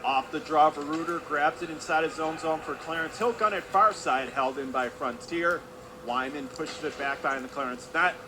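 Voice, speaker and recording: A man's voice: a little high, moderate pace, background noise. A man doing live radio play-by-play of ice hockey in clipped running phrases from an indoor arena.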